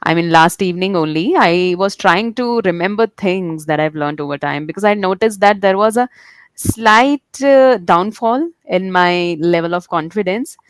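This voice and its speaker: A woman hosts a casual interview in a fairly low voice. The sound is dry and close, with no room around it. She listens attentively, puts questions to her guest, and keeps up a steady stream of "mm-hmm"s. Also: moderate pace, smooth, no echo. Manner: attentive, asks questions, frequent "mm-hmm" backchannels. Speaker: interviewer, host of the show.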